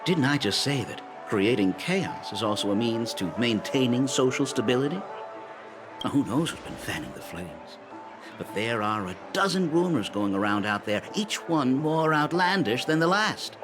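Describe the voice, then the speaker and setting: Brief: actor playing a knowing, wry man, lively intonation, music loud behind. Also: medium pitch, moderate pace, close to an everyday voice. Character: sly male city official trading rumors. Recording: game cutscene over loud music.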